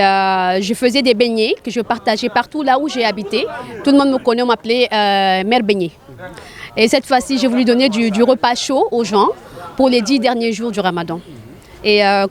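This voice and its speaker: A woman with a somewhat high-pitched voice gives a spontaneous interview. She speaks earnestly about what she does, often repeating a phrase to stress it. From grew up in Arabia. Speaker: charity volunteer being interviewed.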